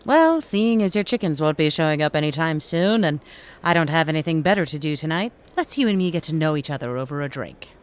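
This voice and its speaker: A woman's voice: deep voice, expressive, brisk. An actress playing a breezy, forward British woman with a teasing, confident manner in an audio drama. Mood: flirty, teasing.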